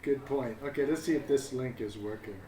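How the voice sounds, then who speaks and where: A man's voice: mid-range voice, a little fast, dark tone. A man chatting casually and hesitantly as he hosts a livestream, thinking aloud as he goes. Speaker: parent hosting a livestream storybook reading. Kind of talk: casual livestream setup chat. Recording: echoey room with background noise.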